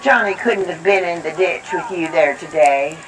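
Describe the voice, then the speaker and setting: A storyteller doing a soft, worried mother. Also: mid-range voice, normal speed, pitched below the performer's own voice, close to an everyday voice, poor audio. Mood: tender.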